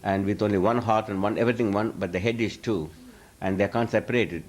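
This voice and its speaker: Low-voiced man giving a rambling lecture. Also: steady tone, quick pace. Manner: chuckles often, rambling with frequent restarts. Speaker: spiritual teacher answering audience questions. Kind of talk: informal lecture Q&A. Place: live talk before an audience.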